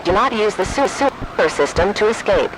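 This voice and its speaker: A man's voice: sits high, lively intonation, moderate pace. A man voicing an impersonal emergency alert system, reading formal, stilted official warnings over noisy broadcast audio. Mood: official, grave. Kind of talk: scripted emergency broadcast announcement.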